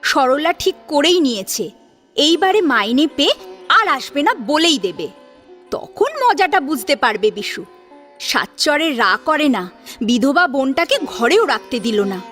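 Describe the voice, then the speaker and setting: High-voiced, animated woman storyteller. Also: unhurried. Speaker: story narrator. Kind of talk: dramatic audiobook story narration. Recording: sitar music behind the voice. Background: plucked sitar music.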